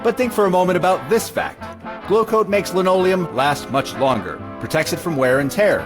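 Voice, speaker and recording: A man's voice: sits high, lively intonation, normal speed, over music. A man playing a polished, salesmanlike American announcer in a 1940s radio style. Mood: genial, persuasive. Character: genial 1940s radio pitchman.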